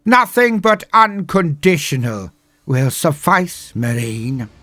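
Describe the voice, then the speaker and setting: A man's voice: fairly high pitch, very animated, takes it slowly, pushed and bright, dry recording, voice pushed up, exaggerated voice. A man voicing a haughty, vain emperor with a pompous, projecting delivery for a sci-fi story. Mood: imperious, loud. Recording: music under the voice.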